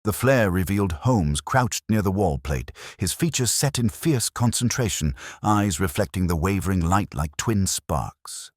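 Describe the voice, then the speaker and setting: Very deep-voiced man narrating a mystery. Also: expressive, measured pace, few pauses, dry recording, clean studio sound. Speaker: audiobook narrator. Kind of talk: scripted first-person detective story narration.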